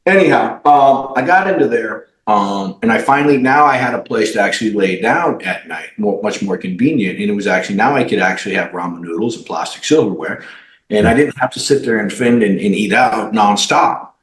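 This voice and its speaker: A man, a company CEO, telling his life story as a podcast guest in an animated way, with many fillers and restarts. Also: lowish voice, a little fast. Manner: animated, acts out quoted dialogue, frequent fillers and restarts. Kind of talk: personal storytelling in a podcast interview. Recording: roomy echo.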